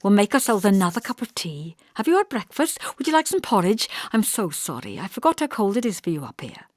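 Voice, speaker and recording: A woman voicing a brittle, wistful young pregnant wife in an audiobook, with a detached manner that drifts from bright to toneless. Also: medium pitch, big pitch swings, fairly quick, dry recording, clean studio sound, voice pushed up. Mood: contrite, soft.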